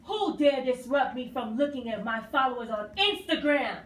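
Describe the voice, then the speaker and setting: Puppet-show queen, played by a woman: imperious, easily enraged, high and swooping, recorded in a roomy space. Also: animated, normal speed. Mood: outraged. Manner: imperious, exclamatory. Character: haughty furious queen obsessed with her phone. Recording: distant roomy mic with clear noise.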